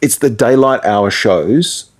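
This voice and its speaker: A man's voice: mid-range voice, lively intonation, slow pace. A middle-aged man, a radio presenter, giving casual, conversational advice in an interview.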